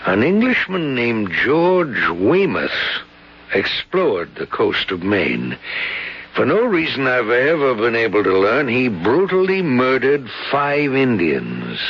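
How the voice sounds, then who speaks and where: An actor playing a solemn, superstitious old colonel in a radio drama, speaking gravely and deliberately with a gravelly, husky voice. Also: male voice, medium pitch, huge pitch swings, full character voice. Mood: grave, foreboding. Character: solemn old colonel who believes in ghostly curses. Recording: muffled vintage radio drama recording.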